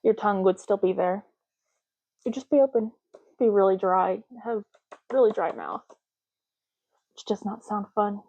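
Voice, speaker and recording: A soft-spoken woman hosting an ASMR roleplay, talking in an unhurried, rambling way as if privately to the listener. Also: mid-range voice, dull audio, a little hiss or hum.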